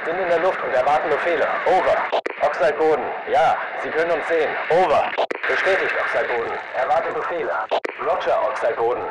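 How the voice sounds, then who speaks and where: An actor playing an alert airborne crewman in video game radio chatter, brisk and formal over military radio. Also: fairly high pitch. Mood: ready, businesslike. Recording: very noisy military radio transmission.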